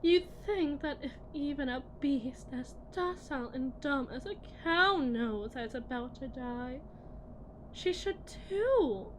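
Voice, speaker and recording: A woman playing a cold, condescending lead technician in an ASMR audio roleplay, speaking deliberately and often dropping toward a breathy whisper. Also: sits high, expressive, slight background noise, poor audio. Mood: cold, disdainful. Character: cold, condescending female lead technician at shady tech company.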